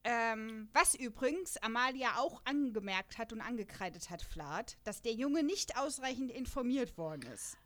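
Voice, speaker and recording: A woman with a somewhat high voice, co-hosting a relaxed podcast. She talks in a chatty, rambling way and laughs easily between thoughts. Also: moderate pace, few pauses. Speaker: podcast co-host. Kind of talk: casual chat.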